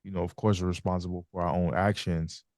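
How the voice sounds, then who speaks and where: A man hosts a podcast interview, posing long, considered questions in a calm, measured way. His voice sits on the low side. The sound is dry and close-miked. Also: no echo. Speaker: podcast host.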